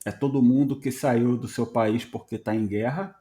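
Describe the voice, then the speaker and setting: A Brazilian man hosting a podcast, telling stories in a casual, animated way and acting out bits of dialogue. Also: medium pitch, moderate pace. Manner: conversational, animated storytelling with voiced dialogue. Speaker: podcast host.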